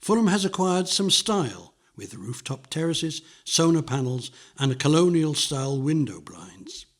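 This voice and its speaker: Older man, husky narrator. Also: fairly high pitch, big pitch swings, moderate pace. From London, England. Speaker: narrator reading a memoir. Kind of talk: scripted narration, nostalgic memoir reading.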